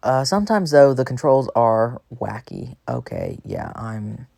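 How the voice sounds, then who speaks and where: A man's voice: medium pitch, huge pitch swings, unhurried, gravelly, a little mellow, husky, no echo. A man rambles casually while playing a video game, chatty, self-deprecating and easily sidetracked. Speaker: gaming video creator. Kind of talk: casual rambling gameplay commentary.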